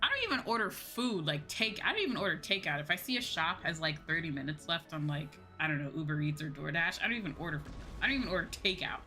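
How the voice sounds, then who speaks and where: A young American woman chats casually on a livestream in a fairly low-pitched voice. She is animated and sweary, slipping into exasperated quoted exchanges as she talks. Music plays clearly behind her. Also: fast talker, few pauses. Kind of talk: casual chat while gaming on stream. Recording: background music under the voice.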